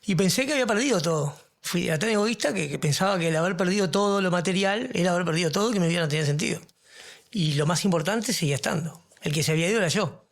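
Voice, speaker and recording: High, gravelly-voiced man in recovery. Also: very fast. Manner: earnest, searching for words. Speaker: guest in recovery. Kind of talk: podcast interview, personal testimony.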